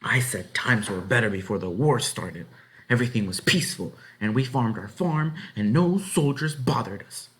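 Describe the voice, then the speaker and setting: A man's voice: expressive, normal speed, a bit bright, natural voice, low-quality recording. Man doing a scolding, bossy farm wife. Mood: firm grumbling. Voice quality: shrill when upset. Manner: scolding, rustic folksy talk.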